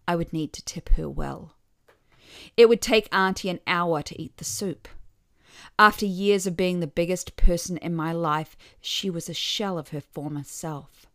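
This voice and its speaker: A woman reading a scripted first-person short story with wry expression, letting some phrase endings drop softly. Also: fairly low pitch, dry recording, studio quality.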